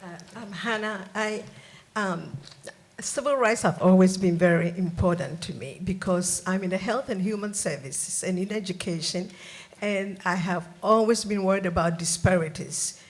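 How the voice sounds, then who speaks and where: A woman speaks at an audience floor microphone in a lecture hall. She is earnest and halting, filling her pauses with ums, in an ordinary mid-pitched voice. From Ghana. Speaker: audience member asking a question. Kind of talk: audience question at a public forum.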